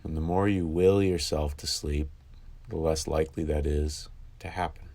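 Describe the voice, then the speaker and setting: Very deep-voiced man guiding meditation. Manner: calm, instructive, with long pauses between phrases. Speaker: meditation guide. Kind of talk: guided sleep meditation.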